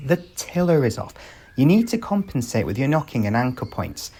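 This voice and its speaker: Man playing a wry, teasing bandit protector, lively intonation. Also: mid-range voice, normal speed, husky. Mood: patient instruction.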